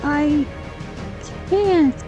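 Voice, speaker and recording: Woman acting a straining high-voiced queen, painfully slow. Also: dull audio. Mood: strained, urgent. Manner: strained, halting word by word. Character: exhausted magical queen straining to hold a portal open. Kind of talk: audio drama acting. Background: dramatic music.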